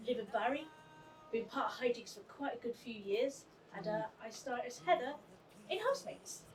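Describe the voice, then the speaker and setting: High-pitched woman, off-mic. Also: lively intonation, moderate pace. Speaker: actor. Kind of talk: unscripted interview answers. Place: outdoors on a sea front. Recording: distant mic, faint music behind.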